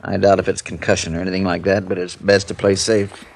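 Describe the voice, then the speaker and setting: A prickly, anxious doctor in an old radio drama. The voice is low and gravelly, used to giving orders. A faint hiss sits on the vintage recording. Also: male voice, flat delivery, fast. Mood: clipped concern. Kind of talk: 1950s radio drama acting.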